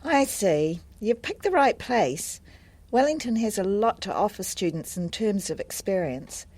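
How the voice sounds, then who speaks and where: A woman acting a helpful, friendly adviser in a scripted listening-test dialogue, speaking in a measured, explanatory way. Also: mid-range voice, very animated, a little mellow, no room sound. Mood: helpful, calm.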